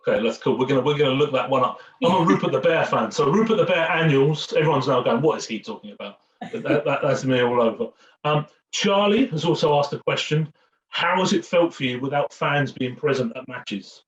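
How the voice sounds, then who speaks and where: A man's voice: medium pitch, fairly quick. A man hosting an informal fan Q&A, chatty and jokey with rambling, repeated phrases, on a muffled, echoey, distant recording. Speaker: host of a fan Q&A.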